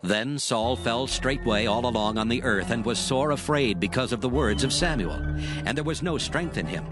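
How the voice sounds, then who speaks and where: A man's voice: mid-range voice, normal speed, rough recording. A man narrating scripture dramatically from a script in archaic English. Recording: music under the voice.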